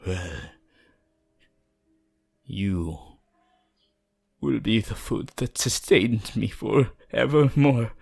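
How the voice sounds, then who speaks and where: A man voicing a possessive, sinister male vampire in an ASMR roleplay, theatrical and menacing, with a breathy voice that often drops toward a whisper. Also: fairly low pitch, animated, a little mellow, soft background music, pitched above the performer's own voice, exaggerated voice. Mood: gloating, commanding. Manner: theatrical, slow, menacing, archaic phrasing.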